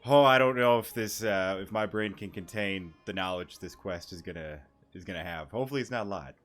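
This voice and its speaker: Low-voiced man doing gameplay commentary. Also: brisk, fairly bright tone. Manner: rambling, repeats words, swears casually. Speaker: gaming streamer. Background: game music.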